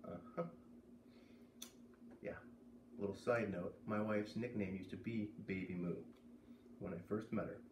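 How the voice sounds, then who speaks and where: A man's voice: lowish voice, fairly even intonation, unhurried, long pauses, far from the mic. A man in his thirties narrates a children's novel from a living room. The camera mic sits a little way off, so the room echoes around him, and there is faint noise underneath. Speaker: storyteller reading a children's novel aloud. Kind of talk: read-aloud narration with casual asides. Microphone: camera a few metres away.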